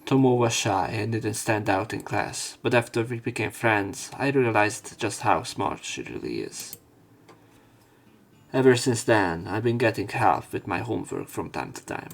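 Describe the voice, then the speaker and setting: A man's voice: fairly low pitch, expressive, unhurried, gravelly. Man reading a visual novel aloud. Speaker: let's play narrator.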